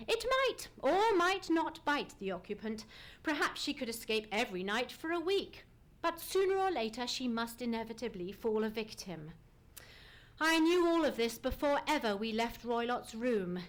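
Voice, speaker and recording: A woman's voice: fairly high pitch, sing-song, normal speed. A woman acting a self-assured detective in a live old-time radio play, speaking in a precise, formal, theatrical way. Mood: confidently explaining.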